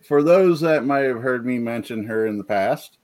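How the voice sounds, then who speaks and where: Man, podcast host, halting, filler-heavy, reading comments, video call. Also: mid-range voice, animated, a little mellow. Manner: halting, many fillers, reads chat comments. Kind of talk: casual livestream chat. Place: home, rural.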